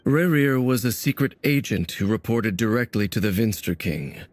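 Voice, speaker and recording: A man's voice: fairly low pitch, lively intonation, moderate pace, dry recording, lightly acted. An actor voicing a grave, knowing man in a video game, speaking formally, courteously and with deliberation. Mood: measured, curious. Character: grave, knowing man, philosophical about fate. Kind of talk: scripted game voice acting.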